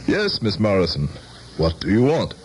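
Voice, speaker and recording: Deep-voiced courtly politician. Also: male voice, huge pitch swings, moderate pace, a little hiss or hum. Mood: magnanimous, assured. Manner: courteous, rhetorical. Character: courtly, self-assured politician, former teacher of ethics. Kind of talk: radio drama acting. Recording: old radio drama broadcast, music behind.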